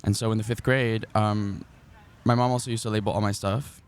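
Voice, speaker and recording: A man in his twenties from Miami, a podcast guest chatting outdoors in a laid-back, wry way, often trailing off quietly. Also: fairly low pitch, steady tone, brisk, slight background noise. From Miami, Florida. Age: 20s. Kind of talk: casual chat. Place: outdoors in a park.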